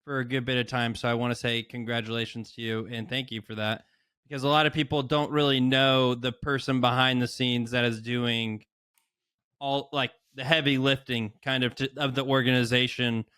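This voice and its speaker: A man's voice: medium pitch, little pitch movement, normal speed, pushed and bright, clear, resonant tone, no echo, studio quality. A man co-hosting a podcast and interviewing a guest in a casual, chatty style.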